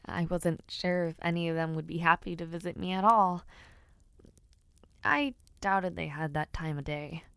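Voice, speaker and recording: A woman's voice: on the low side, normal speed, gravelly, a bit bright, clean tone, no room sound. A young woman voicing a spirited, playful noblewoman knight in an audio roleplay, chatty and teasing. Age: young adult.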